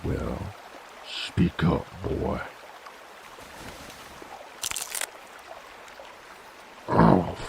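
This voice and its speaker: A man playing a gruff, cocky werewolf bounty hunter in a Western audio drama, with a drawling, folksy, foul-mouthed American Western accent and a gravelly, taunting delivery. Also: drawn-out words, noisy background. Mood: exasperated, growling. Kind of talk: dramatic roleplay audio monologue.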